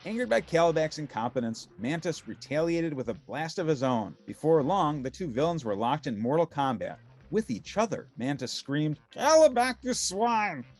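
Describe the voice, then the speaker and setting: Lively, dramatic male storybook narrator. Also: a little high, moderate pace, hardly any pauses. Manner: dramatic, emphatic.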